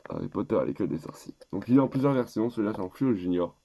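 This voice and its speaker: Congested teen boy, low mellow voice. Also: sing-song, very fast. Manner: rambling and hesitant, with many restarts. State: stuffed up with a cold. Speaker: YouTuber. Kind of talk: casual gift-list vlog.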